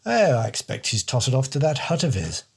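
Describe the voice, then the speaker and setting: A man's voice: mid-range voice, very animated, brisk, creaky, breathy edge, full character voice. A man voicing a wordy, pompous older English don with a fussy manner.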